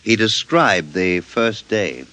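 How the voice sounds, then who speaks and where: A man's voice: fairly low pitch, expressive, takes it slowly, pushed and bright, dry recording, full character voice. An American man playing a formal, composed psychiatrist in a 1950s radio drama. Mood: clinical, composed. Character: businesslike male psychiatrist dictating and questioning a patient. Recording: vintage 1950s radio broadcast.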